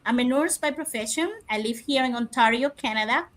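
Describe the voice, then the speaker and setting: A woman's voice: fairly high pitch, fairly quick, fairly bright tone. A woman from Cuba, a nurse on a panel, reading out points one by one. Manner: reads points in a list.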